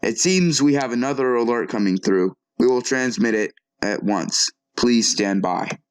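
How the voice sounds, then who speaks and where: A man's voice: medium pitch, unhurried, clear, resonant tone, dry recording, close to an everyday voice. A man playing an earnest TV news anchor in an audio drama, talking in a conversational, sometimes hesitant way. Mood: serious, puzzled. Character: male TV news anchor, earnest, shaken but composed. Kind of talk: acted local TV newscast.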